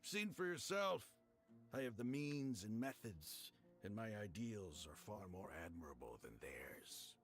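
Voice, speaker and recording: A gloating gravelly cult leader. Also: male voice, fairly low pitch, sing-song, measured pace, soft background music. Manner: gloating, condescending, chuckling. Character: smug scheming male cult leader, middle-aged. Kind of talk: game voice acting.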